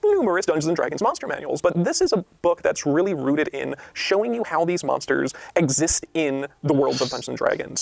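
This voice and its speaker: A man's voice: a little high, vocal fry, no echo. A man explaining his work as a game designer in an interview, earnest and emphatic.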